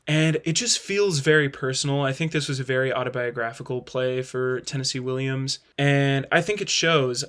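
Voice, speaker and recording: A man's voice: mid-range voice, a bit bright. Casually opinionated man, thinking aloud. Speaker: host. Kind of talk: casual spoken video review.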